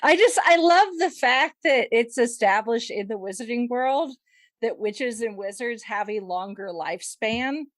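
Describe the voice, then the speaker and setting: Female podcast co-host, fairly high voice, unhurried delivery, animated casual chat, close dry sound. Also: no echo. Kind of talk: casual film discussion chat.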